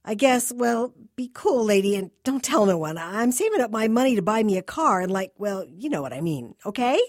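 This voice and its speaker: Nervous, slangy teenage grocery kid, performed by a woman, excitable and halting, swooping pitch, husky edge. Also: dry recording, clean studio sound. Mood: nervous, pleading.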